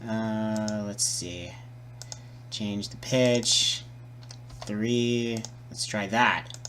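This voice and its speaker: Male tutorial presenter, unhurried and tentative, thinking aloud informally, with light background noise. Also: on the low side. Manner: hesitant, muttering, repeating words while working. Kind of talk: casual improvised software tutorial.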